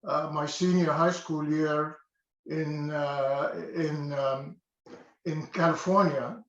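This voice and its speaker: Older guy from egypt, kinda high voice, lots of uh and restarts, echoey room. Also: steady tone, takes it slowly, fairly bright tone. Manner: hesitant, many fillers and restarts. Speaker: interview guest. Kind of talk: casual interview. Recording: echoey dull-sounding room.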